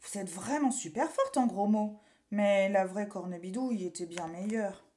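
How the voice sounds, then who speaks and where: A woman's voice: medium pitch, moderate pace, darkish tone, reverberant, natural voice. A woman voicing a cheeky, childlike little boy from a children's story, given to exclaiming. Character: cheeky little boy, pleading then delighted, in a bedtime story.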